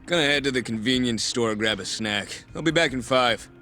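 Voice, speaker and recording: A man's voice: medium pitch, normal speed, pushed and bright, faint music behind, close to an everyday voice. An actor playing a casual, easygoing gangster henchman in a video game cutscene, offhand and chummy.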